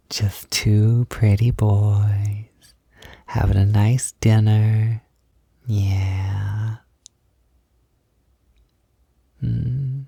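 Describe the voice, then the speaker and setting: A man playing a gentle, affectionate boyfriend in an intimate roleplay audio, speaking softly and soothingly, with unhurried reassurance. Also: fairly low pitch, gravelly, husky, no echo, studio quality, heavily acted. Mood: tender, soothing. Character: gentle, affectionate boyfriend comforting his insecure partner. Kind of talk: intimate roleplay audio, soft-spoken ASMR.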